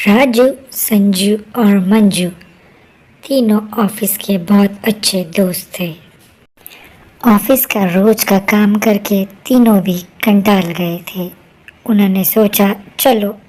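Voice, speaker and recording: A woman narrates a scripted horror tale as a storyteller. Her delivery is slow and measured, with a mid-range pitch. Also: darkish tone, poor audio.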